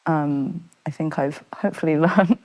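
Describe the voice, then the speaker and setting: A woman's voice: low-pitched, moderate pace, a little mellow. A woman from Britain, a fashion photographer, talking casually as a podcast guest and chatting in a self-deprecating way with many fillers.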